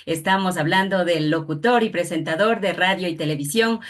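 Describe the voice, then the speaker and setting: A woman's voice: on the low side, a little fast. A woman with an Ecuadorian accent presenting an interview programme in a formal, courteous manner. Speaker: radio programme host and interviewer.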